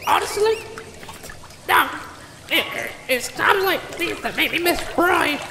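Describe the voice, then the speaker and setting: An actor voicing an excitable, crude gnome for a comedy fantasy cartoon, exclamatory and stammering. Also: very high-pitched, slow, creaky, heavily acted. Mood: subdued. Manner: exclamatory, stammering, swooping delivery. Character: excitable foul-mouthed gnome potion-thrower, gleefully crude. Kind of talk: comedic animated fantasy voice acting. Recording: echoey sewer-like reverb with noise.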